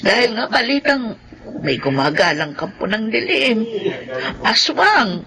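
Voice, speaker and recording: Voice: mid-range voice, big pitch swings, unhurried, creaky, crowd noise. An actor playing a worried, sorrowful older parent in a radio drama, speaking with pleading emotion. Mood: regretful.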